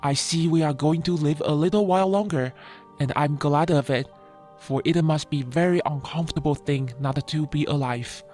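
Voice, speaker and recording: A woman acts out a cowardly lion from a children's storybook, pitched well down into a male register. He is talkative and anxious, yet means to be brave. Music plays behind him. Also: moderate pace, warm tone, close to an everyday voice. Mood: relieved.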